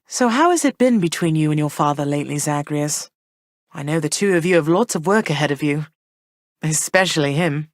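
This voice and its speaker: A woman voicing a gentle, thoughtful goddess queen and mother for a video game, speaking in a soft, measured, slightly formal way. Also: low-pitched, dry recording, clean studio sound. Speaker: voice actress for a video game character. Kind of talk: recorded game voice lines.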